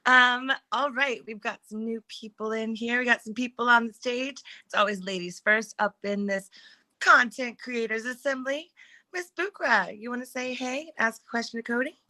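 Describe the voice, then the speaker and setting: A woman's voice: a little high, moderate pace, bright, forceful tone. A woman hosting an audio room, upbeat and welcoming. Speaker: room host. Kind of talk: casual chat, hosting.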